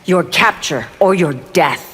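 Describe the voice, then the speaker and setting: An unyielding female officer of the law in a fighting game. Her voice is firm and fairly low, ringing with long reverb over loud noise. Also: lively intonation, slow pace. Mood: resolute. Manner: firm, authoritative. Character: stern, relentless female law enforcer pursuing a villain. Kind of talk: video game character dialogue.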